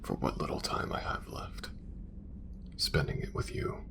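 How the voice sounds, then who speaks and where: A man voicing a calm, devout male alien assassin in an ASMR romance roleplay, whispering in slow, hushed, formal phrases. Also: noisy background, natural voice. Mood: tender. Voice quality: gravelly whisper.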